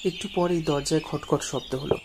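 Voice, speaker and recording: A man's voice: on the high side, steady tone, normal speed, very noisy. A man narrating a ghost story dramatically in the first person, as a storyteller. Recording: music behind the voice.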